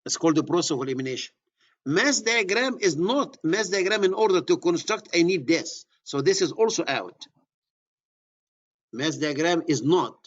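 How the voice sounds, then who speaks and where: Egyptian-accented lecturer, husky voice. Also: male voice, a little high, highs cut off. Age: middle-aged. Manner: repeats key words, restarts phrases. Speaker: surveying instructor. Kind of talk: classroom lecture.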